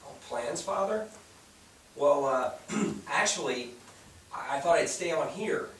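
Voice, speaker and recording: A man's voice: fairly high pitch, expressive, takes it slowly, a bit bright. Dutiful teen son, played by a man, tentative delivery, distant stage recording with faint hiss. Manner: hesitant. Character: dutiful, unsure teenage son finishing school. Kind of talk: staged play reading. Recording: echoey stage, distant mic, background hiss.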